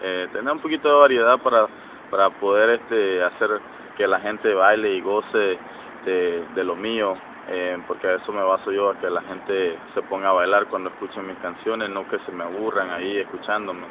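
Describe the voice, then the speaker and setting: Halting young man with a low voice. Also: steady tone. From Nicaragua, Atlantic coast. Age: 20s. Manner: hesitant, many fillers and restarts. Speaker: reggaeton artist, guest. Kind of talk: casual interview answers. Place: outdoors by a sports field in a city. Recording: lo-fi with street and traffic noise.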